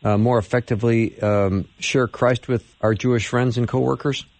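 A man's voice: medium pitch, steady tone, normal speed, a little mellow, no room sound. Eager male host in a dry studio. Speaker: radio host. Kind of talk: interview hosting.

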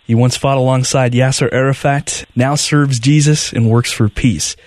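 Male radio host, mid-pitched, conversational interviewer, dry close studio sound. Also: fairly even intonation, normal speed, a little mellow, no room sound. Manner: conversational, brief affirmations.

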